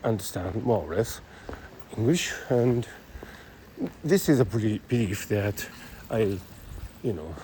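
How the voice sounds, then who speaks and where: A man with a Japanese accent thinking aloud in a halting monologue while walking city streets, speaking into a phone, full of fillers and restarts. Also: fairly low pitch, animated, warm tone, a little hiss or hum, rough recording. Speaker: podcast host. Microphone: phone held close.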